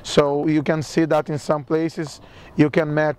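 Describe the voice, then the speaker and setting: A friendly Brazilian man with a marked Brazilian Portuguese accent runs a casual interview. His voice sits a bit high, and his English halts and restarts as he asks his questions. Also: monotone, a little slow, a little mellow, clean tone.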